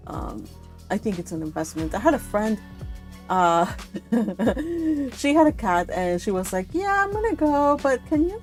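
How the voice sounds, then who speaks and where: A woman's voice: medium pitch, a little mellow. A woman hosting a read-along stream, chatting casually and rambling with frequent laughter. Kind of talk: casual chat with participants.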